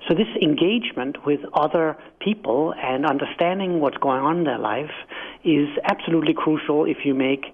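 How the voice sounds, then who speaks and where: A man's voice: on the high side, moderate pace. A middle-aged man from Denmark with a Danish accent, a book author answering radio interview questions thoughtfully, with many fillers and restarts. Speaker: author and guest. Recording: phone-line guest audio.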